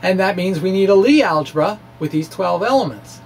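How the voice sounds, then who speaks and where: A man presenting a physics lecture informally, with animated emphasis and a halting delivery full of stammered restarts. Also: high-pitched, slight background noise. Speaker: amateur physicist presenting his own theory. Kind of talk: informal physics lecture, reading of a blog talk.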